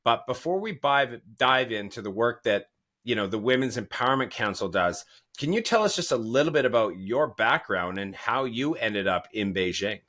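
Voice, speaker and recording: A man's voice: medium pitch, expressive, normal speed, sharp tone. A man hosting an interview podcast who wanders into digressions and asides before his questions. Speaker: podcast host.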